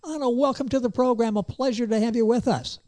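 A man's voice: very high-pitched, fairly even intonation, fast talker, darkish tone, no echo. A man hosting an interview program, genial and upbeat with an announcer's delivery. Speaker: radio talk show host.

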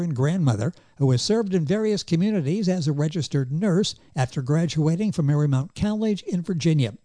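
A man's voice: fairly high pitch, dark tone, no echo. A man hosting an interview program, genial and upbeat with an announcer's delivery. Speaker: radio talk show host.

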